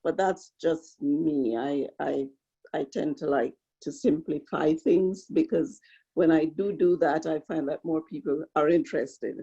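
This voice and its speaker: Husky, dull-sounding woman on a video call. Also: low voice, measured pace, dark tone. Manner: frank, plain-spoken, repeating words. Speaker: workshop participant. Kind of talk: online workshop discussion.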